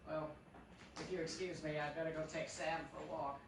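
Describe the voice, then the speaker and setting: A man acts an unruffled, admonishing master of the house, sure of himself and a little fussy. It is radio drama heard from a distance, very echoey, with clear background noise. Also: a little high, fairly even intonation, fairly quick, lightly acted. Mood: self-assured. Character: genial but bossy, unflappable man of the house. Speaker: stage radio-play actor. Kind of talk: live radio play acting. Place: theatre stage, live performance.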